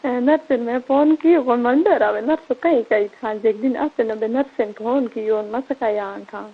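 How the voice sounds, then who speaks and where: High-voiced Marwari woman on a tinny phone line. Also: moderate pace, slightly rough. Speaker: caller on a personal phone call. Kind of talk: casual intimate phone chat. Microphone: telephone line. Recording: thin, band-limited phone call recording.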